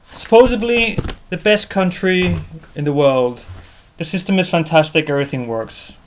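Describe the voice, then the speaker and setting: A man's voice: sits high, lively intonation, moderate pace, slight background noise. A man from Corfu, Greece, presenting a casual personal vlog in an informal storytelling way. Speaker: vlogger and presenter. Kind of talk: casual to-camera personal narration.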